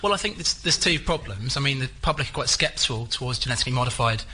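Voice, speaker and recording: A man pitching an idea on a comedy show in a dry, agreeable manner. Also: expressive, quick pace, sharp tone. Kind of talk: unscripted comic discussion. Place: radio studio theatre with audience. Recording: noisy live studio audience recording.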